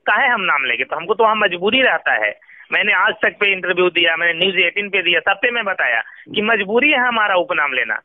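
A man's voice: high-pitched, animated, a little fast, no room sound. A man with a Bihari accent arguing his case respectfully in a recorded phone conversation. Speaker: phone interviewee. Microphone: telephone. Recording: tinny, band-limited phone call.

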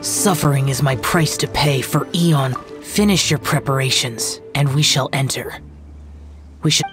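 A man's voice: medium pitch, a little slow, lightly acted. A man voicing an aloof, solemn young immortal warrior in a video game, with stern, formal, restrained delivery. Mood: grave, resolute. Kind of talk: English game voice acting. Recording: game music behind.

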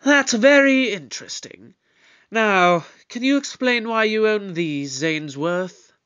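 Brisk, authoritative space detective in an audio drama. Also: medium pitch, lively intonation, a bit bright, clear, resonant tone, muffled recording. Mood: brisk, probing.

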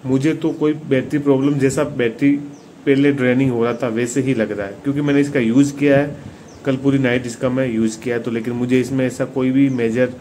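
A man's voice: medium pitch, darkish tone. A man with an Indian accent giving a casual, informal hands-on phone review, often addressing his viewers. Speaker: tech reviewer, video host. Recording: clearly noisy room recording.

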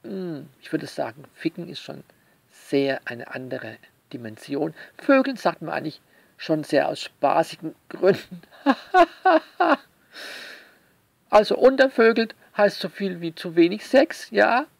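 A man speaks slowly in a fairly high, creaky voice, close and dry. He comments to his listeners with theatrical sarcasm and mock indignation, stretching key words for emphasis. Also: very animated, no echo. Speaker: author commenting on their own novel. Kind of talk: sarcastic spoken essay addressed to listeners.

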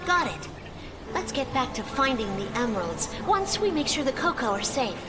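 An earnest young hedgehog girl, the caring heroine of a video game. Her voice is high and swings expressively with every phrase. Music plays clearly behind her. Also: sing-song, normal speed. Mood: concerned. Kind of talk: video game cutscene acting.

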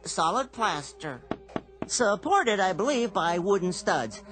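An actor playing a mild, polite, fussy man with a halting manner in a radio drama. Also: high voice, very animated, over music, exaggerated voice. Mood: polite, eager. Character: mild, fussy, meek man showing off new superhuman strength.

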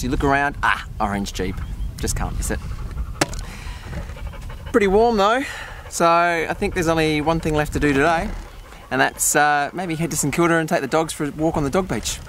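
A man's voice: mid-range voice, moderate pace, fairly bright tone. Easygoing Australian man vlogging, noisy outdoors. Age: 40s. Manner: chatty and easygoing, talking to his dogs. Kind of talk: casual vlog talk to camera. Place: in a car and outdoors on a hike. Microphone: camera held at arm's length or mounted on the dash. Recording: outdoor camera audio with clear background noise.

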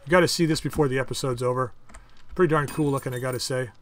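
A man hosting a casual game playthrough, chatting and thinking aloud as he crafts items, with his voice going a bit hoarse. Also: mid-range voice, quick pace, slight background noise. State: losing his voice. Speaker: gaming channel host. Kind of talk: casual let's-play commentary.

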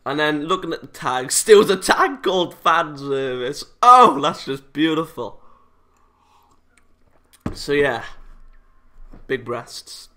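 A man's voice: on the high side, expressive, takes it slowly, pauses often, pushed and bright. A British man hosting a gaming video, chatting casually and reading visual novel text aloud with playful, self-interrupting asides. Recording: music playing behind the voice.

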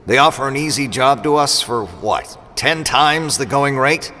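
A man's voice: medium pitch, a little slow, bright, forceful tone, long reverb tail. An actor playing a roguish, sarcastic assassin mercenary who teases his way through an audio drama. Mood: defensive. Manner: sarcastic, teasing. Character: sardonic, roguish male assassin mercenary, mock-wounded and teasing.

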